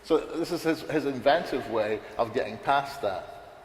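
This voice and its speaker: A man's voice: medium pitch, moderate pace. Rambling man speaking in an echoey hall. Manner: rambling, many restarts and fillers. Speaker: panelist at a writers' conference. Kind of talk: unscripted panel discussion. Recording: roomy hall reverb, faint background noise.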